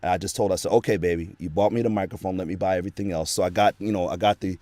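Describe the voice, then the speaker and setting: A man's voice: deep voice, fairly even intonation, very fast, darkish tone, no echo. A man telling his story in a casual interview, earnest and rambling with lots of fillers, into a home studio microphone. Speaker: guest, actor and voice actor.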